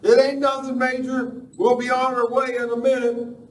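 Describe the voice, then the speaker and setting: A man's voice: very high-pitched, monotone, moderate pace. A man playing a flustered, folksy train engineer in a live radio western. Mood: uneasy, covering up. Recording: distant mic, echoey noisy hall.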